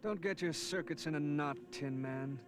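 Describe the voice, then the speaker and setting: An actor playing a foppish, sly villain in an action cartoon, sardonic and affected. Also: male voice, on the high side, normal speed, lightly acted. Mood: smug mockery. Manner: sardonic, affected, speaks of himself by name. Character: sly, foppish male villain, mockingly amused. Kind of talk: animated action cartoon acting. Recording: music behind.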